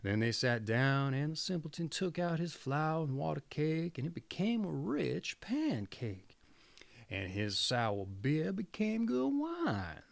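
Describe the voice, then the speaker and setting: Creaky, animated male fairy-tale storyteller. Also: a little high, slow pace, no room sound. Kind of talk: fairy tale narration, read aloud.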